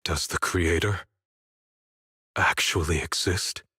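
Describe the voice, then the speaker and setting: An actor playing an anguished warrior tormented by nightmares in a video game, with a rough voice. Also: male voice, low-pitched, slow, pushed and bright, no echo, studio quality, full character voice. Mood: shaken, doubtful. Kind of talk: dramatic video game voice acting.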